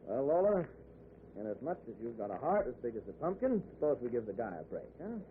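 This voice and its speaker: A man's voice: mid-range voice, very animated, quick pace, lightly acted. An American actor playing a chatty, jovial married traveling salesman in a 1940s-style radio drama, with folksy, hesitant talk. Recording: tinny, noisy old radio broadcast recording.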